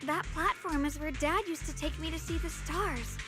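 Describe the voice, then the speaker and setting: A woman's voice: high voice, normal speed, music in the background, close to an everyday voice. A woman playing an earnest, troubled young girl in a video game. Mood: worried pleading. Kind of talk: dramatic video game voice acting.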